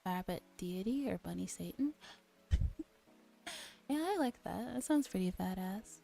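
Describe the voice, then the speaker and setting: A woman streamer gently reading a visual novel aloud in a soft ASMR style, with relaxed casual asides. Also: mid-range voice, measured pace, gravelly. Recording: music behind the voice.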